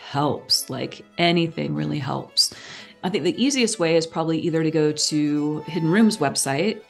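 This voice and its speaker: Female podcast guest, notably low register, casual conversational delivery, light music bed. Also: vocal fry. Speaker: podcast guest, theatre artistic director.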